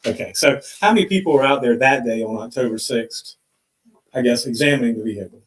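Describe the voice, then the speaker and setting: A man's voice: mid-range voice, fairly quick. A man working as a defense attorney, asking probing, leading questions in a courtroom. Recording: echoey courtroom playback on live stream.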